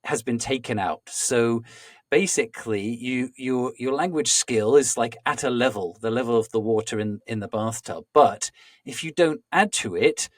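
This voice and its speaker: A man hosting a podcast, asking long, halting questions with many restarts and explaining with analogies. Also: mid-range voice, dry recording, clean studio sound. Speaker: podcast host. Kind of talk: conversational interviewing.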